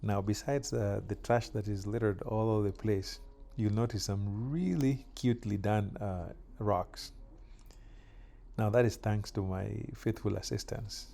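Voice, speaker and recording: Gravelly, dark-voiced Kenyan man vlogging. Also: on the low side. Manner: relaxed, chatty, frequent filler pauses. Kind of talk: casual yard-work vlog narration. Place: outdoors in a yard.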